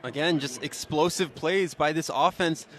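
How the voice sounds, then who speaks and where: A man's voice: a little high, moderate pace, a bit bright. An American man giving live color analysis between plays on a college football radio broadcast. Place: football stadium broadcast position. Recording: outdoor stadium background noise.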